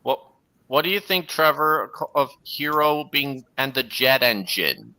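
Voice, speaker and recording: A man's voice: medium pitch, animated, unhurried, sharp tone. A man hosting a livestream, giving casual, earnest, detail-focused commentary on videos he is watching. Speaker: livestream host. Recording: music playing behind the voice.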